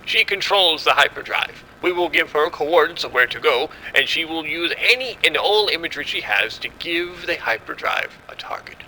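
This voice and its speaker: Man acting as confident scientist, very bright sharp voice. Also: fairly high pitch, expressive, moderate pace, few pauses, slight background noise, rough recording. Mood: assured, proud. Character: confident male scientist running a secret military experiment. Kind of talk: audio drama acting.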